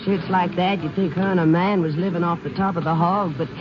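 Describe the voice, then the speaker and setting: A man's voice: sits high, steady tone, brisk, background noise, lightly acted. A man playing a rambling, obliging small-town laundry worker in a 1950s radio drama, with folksy rural speech. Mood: helpful, rambling. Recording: dull 1950s radio recording, noises behind.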